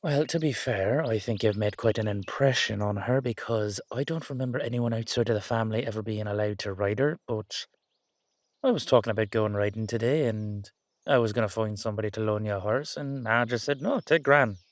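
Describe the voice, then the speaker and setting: A man's voice: lowish voice, brisk, no room sound, close to an everyday voice. A man playing a playful, affectionate young-looking Dullahan boyfriend with an Irish accent, rambling and teasing in a roleplay audio. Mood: warm, fond.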